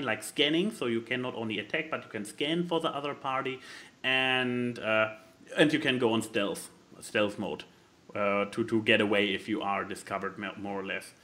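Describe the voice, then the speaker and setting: A man who is not a native English speaker, chatting casually as a podcast co-host and haltingly searching for words as he explains things. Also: mid-range voice, a bit bright. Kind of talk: casual chat explaining a board game.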